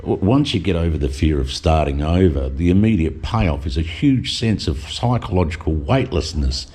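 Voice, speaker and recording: A man's voice: deep voice, expressive, moderate pace, mellow, breathy edge. A middle-aged man reading a reflective voice-over with wry asides. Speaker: vlogger narrator.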